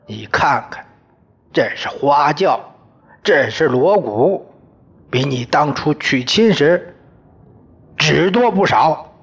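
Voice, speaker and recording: Man putting on a domineering old patriarch, furious, husky, barking orders, muffled recording. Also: on the high side, expressive, slow, pauses often, voice pushed up, full character voice. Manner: scolding, shouting orders. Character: furious old father-in-law, domineering, shouting.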